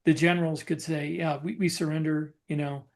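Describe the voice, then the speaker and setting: A man co-hosting a podcast and talking shop as a novelist, explaining casually in a halting way and reworking his phrases. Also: fairly high pitch, level tone. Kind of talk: casual discussion of writing military science fiction.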